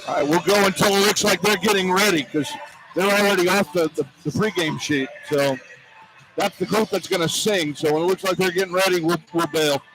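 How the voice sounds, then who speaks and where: A man's voice: high-pitched, a little fast, poor audio. A man working as a play-by-play radio broadcaster, talking casually and hosting sports coverage on a headset mic. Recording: music bleeding in behind voice. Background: clear music in background.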